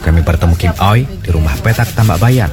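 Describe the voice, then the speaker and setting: A man narrating a television feature in a measured, formal manner as the reporter. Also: sits low. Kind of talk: scripted documentary narration. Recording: voice-over with loud music behind.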